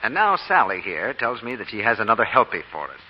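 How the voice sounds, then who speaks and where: Animated male radio announcer, muffled. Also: on the low side, fast talker. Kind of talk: scripted 1940s radio announcing. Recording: muffled, noisy vintage radio broadcast.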